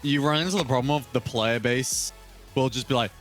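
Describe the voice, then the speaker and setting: A man chatting as a podcast panelist, explaining things casually with halting repeats. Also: mid-range voice, faint music behind.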